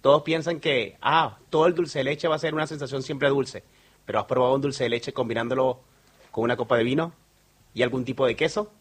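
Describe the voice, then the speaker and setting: A man's voice: mid-range voice, fairly even intonation, brisk, breathy edge. A man from Venezuela with a Venezuelan accent, a company sales manager speaking as a guest in a radio interview, earnest and promotional, with many fillers.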